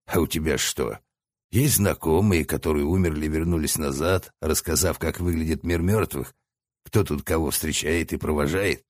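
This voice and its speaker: A man's voice: extremely low pitch, moderate pace, no echo, studio quality. A man voicing the stern, commanding central head of a three-headed hellhound.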